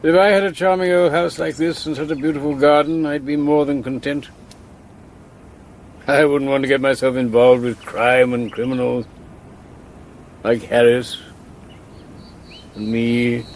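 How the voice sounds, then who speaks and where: Actor as gravelly, sceptical older colonel. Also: male voice, mid-range voice, flat delivery, a little slow, pauses often, slight background noise, close to an everyday voice. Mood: wistful, gentle. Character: courteous, sceptical older male colonel turned crook. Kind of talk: radio drama acting.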